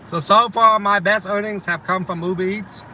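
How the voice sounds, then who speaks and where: Bright-voiced man with a slight Vietnamese accent. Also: sits high, fairly even intonation, moderate pace, slight background noise. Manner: loose and rambling, lots of ums and uhs. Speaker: delivery driver streaming to viewers. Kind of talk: casual live chat reading viewer comments.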